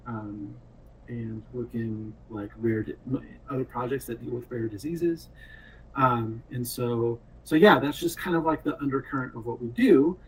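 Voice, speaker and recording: A man speaking casually and reflectively as a game developer on a panel, in halting phrases. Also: mid-range voice. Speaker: game director and programmer. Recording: distant mic with faint noise.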